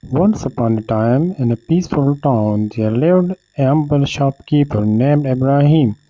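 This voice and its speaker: A man narrating a scripted moral story in a measured storyteller manner. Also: medium pitch, animated, dark tone, no room sound, rough recording.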